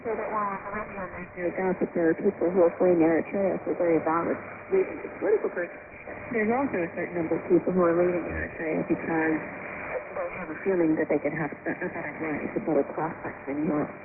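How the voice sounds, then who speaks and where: A woman's voice: fairly low pitch, fast, phone-quality sound, heavy background noise. A woman giving interview commentary as a policy expert, heard through a shortwave radio broadcast.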